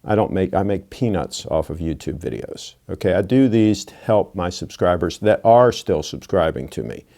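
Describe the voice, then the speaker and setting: A sixty-year-old man talks straight to his viewers in a blunt, lecturing way, tossing in folksy asides. His voice sits low and sounds dark and mellow. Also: animated, moderate pace, vocal fry, hardly any pauses. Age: 60s. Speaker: watch channel host. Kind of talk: casual monologue to camera.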